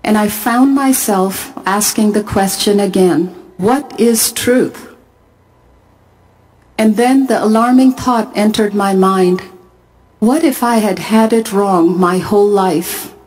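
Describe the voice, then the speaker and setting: A middle-aged woman from Pakistan, speaking at a conference and telling her own story in a measured, earnest way with deliberate pauses. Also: medium pitch, darkish tone, a little hiss or hum, poor audio. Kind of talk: testimony talk to a conference audience. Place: large arena.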